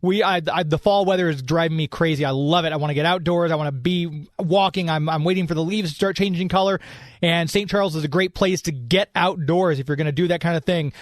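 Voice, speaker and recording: High-voiced man hosting a radio show. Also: fast, no echo, clean studio sound. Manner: chatty, informal, off the cuff. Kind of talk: casual radio chat and sponsor reads.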